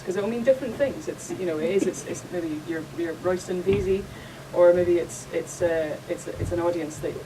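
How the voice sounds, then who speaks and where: A woman's voice: fairly low pitch, far from the mic, noisy background. A Scottish woman hosting a festival panel discussion, chatty and rambling with many restarts. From Scotland. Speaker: panel host. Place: conference room.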